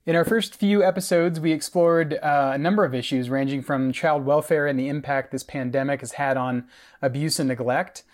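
A man's voice: a little high, fairly even intonation, moderate pace. A man co-hosting a podcast, reading a scripted opening in an announcer-like way. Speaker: podcast co-host.

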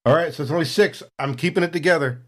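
A man's voice: a little high, fast talker, a bit bright. A man chatting casually as a player in a tabletop role-playing game, describing his moves.

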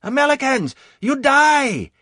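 Jeering enemy soldier in a war audiobook, screechy sing-song taunts, really high voice. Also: male voice, sing-song, takes it slowly, bright, forceful tone, dry recording, studio quality, heavily acted. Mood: menacing, taunting. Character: jeering enemy Viet Cong soldiers screeching threats in the dark.

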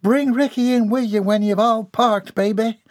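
A man's voice: high voice, steady tone, normal speed, a little mellow, no echo, studio quality, voice pushed up, close to an everyday voice. A man voicing a folksy hillbilly mother with a homespun, polite drawl in an audiobook story. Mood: motherly, polite.

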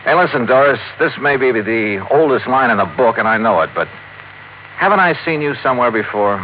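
An American actor playing a brisk, dry hard-boiled investigator in a 1950s radio detective drama, clipped and questioning. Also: male voice, mid-range voice, expressive, a little hiss or hum, natural voice. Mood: pressing. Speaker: lead detective and narrator. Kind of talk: 1950s radio detective acting with first-person narration. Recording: tinny old radio recording, music behind.

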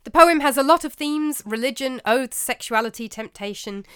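A woman's voice: high-pitched, expressive, normal speed, fairly bright tone, clear, resonant tone, dry recording, studio quality. A woman with an English accent hosting a storytelling podcast, giving informal commentary on literature in a chatty, slightly hesitant way with wry asides. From England.

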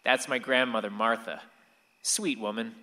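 A man as a wistful, bright-voiced teenage boy. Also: mid-range voice, steady tone, moderate pace, lightly acted. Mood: storytelling. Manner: reflective, storytelling to the listener. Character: angst-ridden sixteen-year-old boy, wistful narrator. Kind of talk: radio play narration. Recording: reverberant hall.

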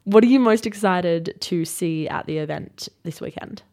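A woman's voice: lowish voice, normal speed, vocal fry, a little mellow, soft background music. A young woman co-hosting a podcast, chatty and full of "like". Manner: chatty, uses "like" a lot. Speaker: podcast co-host. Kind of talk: casual podcast chat and street reporting.

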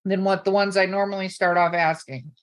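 A woman's voice: medium pitch, steady tone, brisk. A woman with a mid-range voice hosts a casual podcast. She runs her guests through questions with bossy teasing and salty language. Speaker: podcast host. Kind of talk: casual chat, rapid-fire questions to guests.

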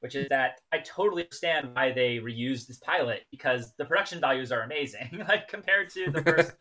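A man's voice: mid-range voice, brisk, bright, forceful tone. A man in a casual, analytical discussion on a TV review podcast. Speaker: podcast co-host.

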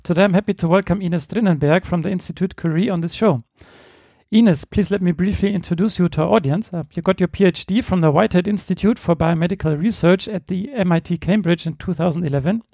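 A man's voice: high-pitched, quick pace, warm tone, no room sound, studio quality. A man hosting a podcast, formally introducing his guest and asking the opening question. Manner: formal, reads a guest bio. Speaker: podcast host. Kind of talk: interview introduction.

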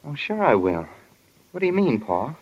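A man's voice: on the high side, big pitch swings, moderate pace, darkish tone, husky. A man playing an eager, sheepish sixteen-year-old boy in a 1940s radio drama. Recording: dull, reverberant 1940 radio recording.

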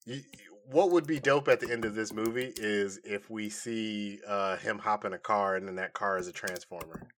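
A man's voice: lowish voice, normal speed, hardly any pauses, a bit bright, slight background noise. A man co-hosting a podcast in casual nerd chat, reacting and joking along with his partner. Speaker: podcast co-host.

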